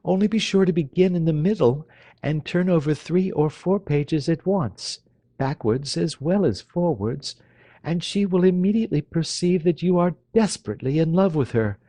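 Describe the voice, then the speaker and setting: A man pitching up into a coquettish young woman who mocks sweetly and plays with every word. Her voice swoops high and light in a dry, close reading. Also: animated, moderate pace, mellow, dry recording. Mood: teasing. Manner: playful, mocking lilt.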